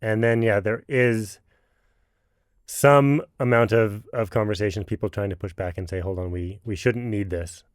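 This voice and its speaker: A man hosting a podcast interview, talking casually and haltingly, with lots of restarts. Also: fairly low pitch, fairly even intonation, dry recording, clean studio sound. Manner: halting, many fillers and restarts. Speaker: podcast host.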